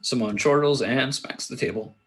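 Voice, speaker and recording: Male table-read narrator: average pitch, halting, plain delivery of stage directions. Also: slight background noise. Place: remote video call.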